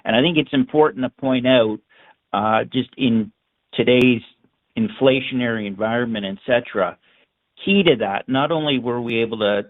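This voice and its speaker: A man answering analyst questions as a company finance executive, halting and often repeating his words. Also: medium pitch. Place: conference call. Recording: narrow, tinny phone line.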